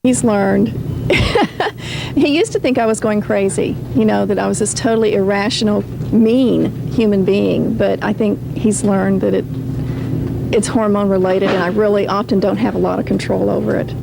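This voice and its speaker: A woman's voice: mid-range voice, steady tone, moderate pace, gravelly. A woman talking casually in an interview recorded on noisy location.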